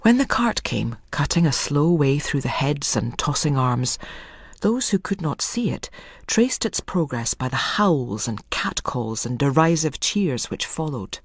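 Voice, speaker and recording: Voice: medium pitch, big pitch swings, a little slow, husky, dry recording, studio quality. A storyteller reading a scripted dramatic story as narration.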